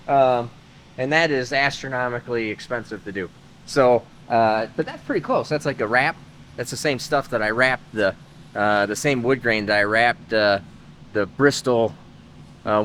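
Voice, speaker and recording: A man talks through his car work in a relaxed, wandering way, full of pauses and fillers. His voice sits in an ordinary middle pitch. A faint engine hum runs under it. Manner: rambling, easygoing, lots of "uh" fillers. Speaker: host of a car-building channel. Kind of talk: casual garage vlog talk. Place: car workshop.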